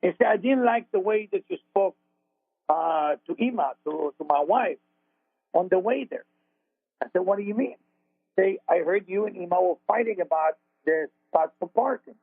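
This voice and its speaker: A man's voice: high voice, expressive, normal speed, vocal fry, breathy edge, no echo. A man with an Israeli accent, a spiritual teacher telling a story on a radio talk show in earnest, confessional tones and broken English phrasing. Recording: dry phone-line sound.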